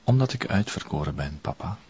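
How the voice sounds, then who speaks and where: An actor playing a defiant, deluded young Flemish son who believes himself chosen, speaking solemnly in a TV crime drama. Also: male voice, very deep voice, expressive, brisk, a little mellow, husky, dull audio, full character voice. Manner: solemn, stubborn, formal phrasing.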